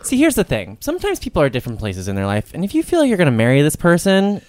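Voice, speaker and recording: Man, late 20s, frank, reflective, lively up-and-down pitch, dry close sound. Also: fast, dry recording. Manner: frank, reflective, steers the show. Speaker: podcast co-host. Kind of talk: casual chat.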